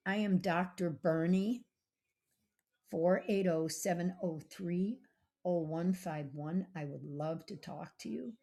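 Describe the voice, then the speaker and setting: A woman's voice: on the low side, creaky, a little mellow. A woman doctor hosting her own podcast, rambling in a chatty solo monologue and coaxing listeners to call and text her.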